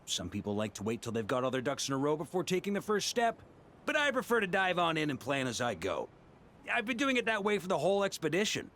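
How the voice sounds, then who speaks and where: An actor playing an easygoing veteran knight leader in a video game, musing and breezy with a theatrical touch. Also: male voice, fairly high pitch, sing-song, fast, a bit bright, slight background noise.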